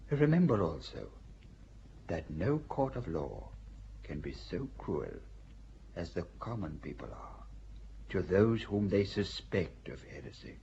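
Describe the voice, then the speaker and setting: An actor playing a stern elderly male inquisitor who lectures a court in a solemn, measured, sermon-like way. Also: low voice, big pitch swings, vocal fry, dark tone, a little hiss or hum, heavily acted. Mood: solemn warning. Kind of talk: audio drama acting. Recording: dull lo-fi recording.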